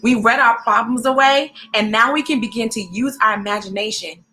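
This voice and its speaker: An animated, encouraging woman hosting a storybook read-aloud, speaking directly to children in an expressive way. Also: fairly high pitch, a little fast.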